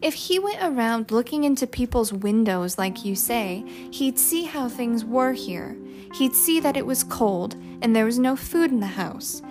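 A woman's voice: on the high side, a little slow, music in the background, lightly acted. A woman voicing a stubborn, outspoken young city girl in an audiobook, sincere, emphatic and defiant. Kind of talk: audiobook character dialogue.

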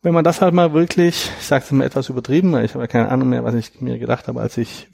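Man, thirties, interview guest, husky, mellow, dry close sound. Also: medium pitch, fairly even intonation, no room sound. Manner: reflective, measured, explains at length. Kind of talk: conversational interview.

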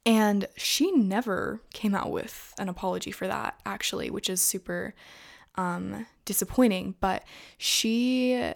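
A young woman with a raspy voice today, chatting casually to her viewers about influencer news and peppering her talk with "like" and "you know". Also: mid-range voice, unhurried. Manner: chatty, filler-heavy, frequent "like" and "you know". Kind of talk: casual gossip commentary to viewers.

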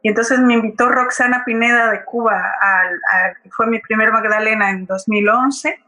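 A woman's voice: a little high, steady tone, moderate pace, pushed and bright, poor audio. A woman from Colombia, a playwright speaking as a guest in a conversational, reflective account. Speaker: guest playwright and theatre director. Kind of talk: conversational account in a public forum.

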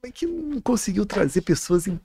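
Gravelly, husky Carioca man. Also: sits high, big pitch swings, fairly quick, mellow, dry recording. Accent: Carioca Brazilian Portuguese. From Rio de Janeiro, Brazil. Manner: rambling and profane, repeats words often. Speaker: guest, writer and musician. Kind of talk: casual podcast chat.

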